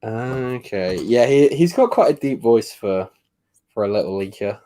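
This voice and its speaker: A man's voice: medium pitch, expressive, measured pace, mellow. A young man hosting a live stream, chatting casually with dry, teasing banter and frequent laughter.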